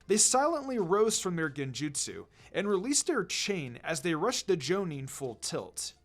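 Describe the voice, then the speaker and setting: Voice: mid-range voice, big pitch swings, measured pace, faint music behind. A narrator reading fan fiction aloud as scripted storytelling.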